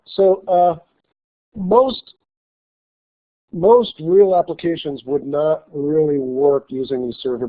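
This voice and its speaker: Guy teaching a class, kinda stuffy w a cold, lots of uh and restarts, sounds like a phone recording. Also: a little high. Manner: halting, many fillers and restarts. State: slight cold, losing voice. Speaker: college instructor. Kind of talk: classroom lecture. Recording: tinny phone-like lecture recording.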